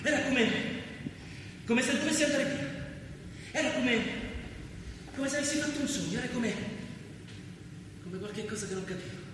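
An anguished biblical brother, heavily echoing. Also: male voice, very high voice, normal speed, long pauses. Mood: troubled, haunted. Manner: intense, lyrical, insistent repetitions. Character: anguished biblical brother, a toiling man of the land. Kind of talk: poetic theatrical radio drama. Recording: very echoey, distant, noisy hall.

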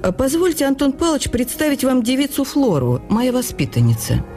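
A woman's voice: sits high, huge pitch swings, a little fast, warm tone, faint music behind, pitched above the performer's own voice. A woman voicing a jovial, condescending male newspaper editor with a teasing, theatrical manner in a radio memoir. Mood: jovial introducing.

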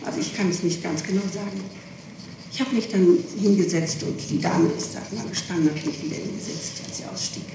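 A woman is being questioned on an old tape recording. She sounds far from the microphone in a very echoey room, with clear noise over her voice. She answers haltingly, correcting herself and insisting on details. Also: lowish voice. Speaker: person under police questioning.

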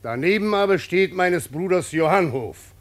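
An actor playing an old, plain-spoken peasant father in a radio play, with weighty, halting, declamatory phrasing. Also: sits high, animated. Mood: solemn, decreeing. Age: older.

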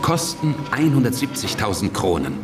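An actor playing an indignant, gossiping informant in a radio drama. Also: male voice, mid-range voice, very animated, moderate pace, lightly acted. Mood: incredulous. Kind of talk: German radio drama acting. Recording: music bed behind the voice.

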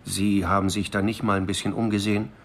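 An actor playing a calm, probing police commissaire with a measured, questioning manner in a radio crime drama. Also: male voice, lowish voice. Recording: faint background ambience.

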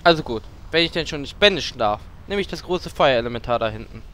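A man's voice: mid-range voice, expressive, fast, a bit bright, slight background noise, natural voice. A man playing a cocky, impatient warlock with a cheeky, boastful manner in a German fantasy parody audio drama. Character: Dimitri, cocky impatient trigger-happy warlock.

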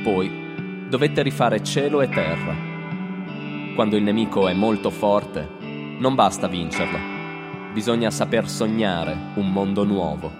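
Man narrating dramatically over loud guitar music. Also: on the low side. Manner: theatrical, declamatory, short deliberate phrases. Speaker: storyteller, reader of own texts. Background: guitars and rhythm section.

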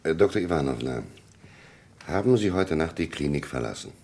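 An actor playing a probing middle-aged police commissioner in a vintage crime radio play, questioning in a deliberate, gruff manner. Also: male voice, extremely low pitch, expressive, darkish tone, exaggerated voice. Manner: deliberate, interrogating, hesitant fillers. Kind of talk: vintage German crime radio drama acting.

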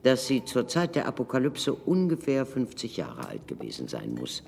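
An older actor in a German radio drama playing a wry, learned former prisoner, speaking formally and with measured dramatic pauses. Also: male voice, medium pitch, huge pitch swings, vocal fry, a little mellow, breathy edge, full character voice. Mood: rueful. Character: learned former prisoner, wry doctor recounting his past. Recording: reverberant room, faint music underneath.

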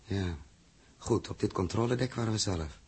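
A decisive spaceship captain in a 1960s radio play. His low voice is very rough and husky, with a firm, brisk, reasoning delivery. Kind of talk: 1960s radio drama acting.

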